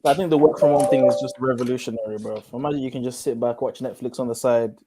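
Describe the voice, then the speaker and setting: A man's voice: medium pitch, fairly even intonation, quick pace, heavy background noise. Laid-back man chatting from home. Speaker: panelist. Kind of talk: casual chat on a live panel.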